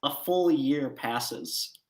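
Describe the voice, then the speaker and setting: Man, game master, relaxed descriptive narration, roomy echo. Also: mid-range voice. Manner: relaxed, descriptive, halting with fillers.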